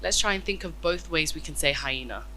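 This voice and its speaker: A woman's voice: low voice, expressive, brisk, sharp tone, a little hiss or hum, low-quality recording. Stuffy-nosed woman teaching children. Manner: encouraging, asks questions and praises. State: stuffy nose from a cold. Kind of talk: interactive lesson with children.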